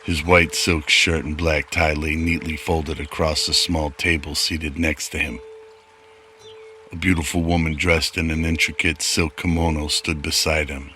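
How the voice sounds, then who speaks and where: A man's voice: a bass voice, flat delivery, unhurried, pushed and bright, soft background music. A man narrating a scripted audio drama as its storyteller.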